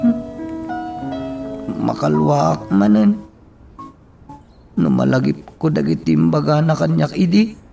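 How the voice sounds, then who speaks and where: Actor as a gravelly, slow, brooding lovelorn man. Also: on the high side, animated, mellow, soft background music, exaggerated voice. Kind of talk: Ilocano radio drama acting.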